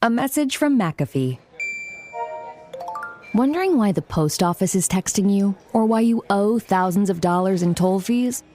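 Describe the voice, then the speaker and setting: Woman reading a scripted ad, mid-pitched. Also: animated, unhurried, dark tone, soft background music. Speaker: advertisement announcer. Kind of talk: scripted ad read.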